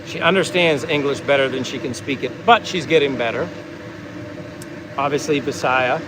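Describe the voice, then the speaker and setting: A man's voice: medium pitch, normal speed. Chatty American man over street noise. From United States. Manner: talkative, easygoing, rambling. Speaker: vlog host reading viewer comments. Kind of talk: casual livestream chat.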